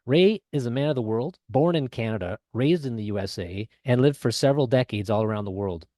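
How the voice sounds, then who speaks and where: A man's voice: medium pitch, a little fast, warm tone, no room sound, clean studio sound. A man hosting a podcast, introducing a guest and asking friendly questions. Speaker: podcast host.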